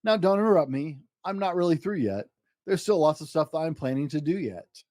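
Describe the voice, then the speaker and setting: A man's voice: on the high side, brisk, no room sound, clean studio sound, close to an everyday voice. A man voicing an exuberant, boastful eight-year-old schoolboy in a theatrical, rhyming picture-book story. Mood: insistent, excited. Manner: excitable, grandiose, theatrical.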